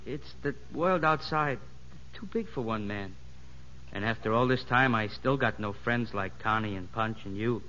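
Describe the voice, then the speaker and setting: An actor playing a meek, forlorn convict in a 1950s radio drama, hesitant, earnest and plain-spoken. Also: male voice, moderate pace, bright, forceful tone, long reverb tail. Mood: earnest, resigned. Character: meek, forlorn convict who loves prison life. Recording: boomy, muffled, noisy old radio broadcast.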